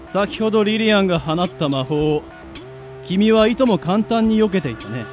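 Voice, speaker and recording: Man acting a pompous, high-pitched spirit gentleman mentor. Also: animated, normal speed. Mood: solemn, explaining. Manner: pompous, old-fashioned, lecturing speech. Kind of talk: anime-style radio drama acting. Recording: background music under the voice.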